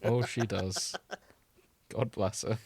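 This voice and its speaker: A man's voice: fairly low pitch, fairly even intonation, slow, gravelly, darkish tone, breathy edge, no room sound. A man co-hosting a casual podcast, chatting and reading fan fiction in an amused, wry, sweary way. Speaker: podcast co-host.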